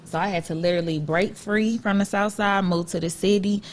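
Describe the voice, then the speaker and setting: A woman's voice: mid-range voice, steady tone, fairly quick, a little hiss or hum. A young woman from Ohio co-hosting a podcast, talking candidly and casually, laughing and swearing freely. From Akron, Ohio. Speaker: podcast co-host. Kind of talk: casual chat.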